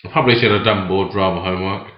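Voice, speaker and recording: Lively young man, echoey lo-fi room. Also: low voice, very fast. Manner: animated storyteller, slips into playful voices. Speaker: podcast co-host. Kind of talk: casual chat. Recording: echoey room, dull lo-fi sound.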